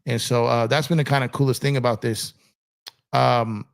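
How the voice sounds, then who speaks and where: Gravelly-voiced man rambling on a livestream. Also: medium pitch, fairly even intonation, moderate pace. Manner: rambling, repeats himself, many fillers. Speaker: livestream host. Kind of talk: casual livestream chat reading comments. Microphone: streaming setup in a gaming chair.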